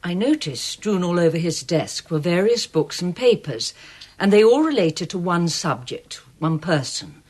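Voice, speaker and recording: An actress playing a chatty young museum clerk in a radio drama, with an eager manner. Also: female voice, lowish voice, sing-song, unhurried, a little mellow, husky, exaggerated voice.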